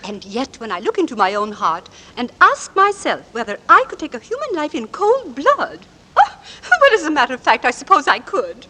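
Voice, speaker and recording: A gushing, tactless society matron. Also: female voice, high voice, big pitch swings, moderate pace, pushed and bright, exaggerated voice. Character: chatty, morbid middle-aged society mother. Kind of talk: 1940s radio drama acting. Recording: old radio recording.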